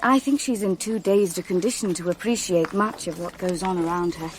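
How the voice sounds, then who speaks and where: A woman playing a composed, serious young Englishwoman with quiet concern and an English accent, in a radio play. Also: lowish voice, brisk, noisy background, lightly acted. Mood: composed, matter-of-fact. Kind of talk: radio drama acting.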